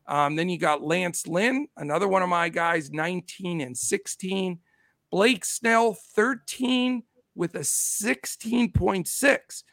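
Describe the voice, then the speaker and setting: A man with a gravelly, fraying voice runs through numbers at a chatty clip. His voice sits a bit high, and the sound is dry and close. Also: a bit bright, dry recording. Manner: rattles off numbers quickly, chatty. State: losing his voice. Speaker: podcast host. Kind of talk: casual sports betting podcast talk.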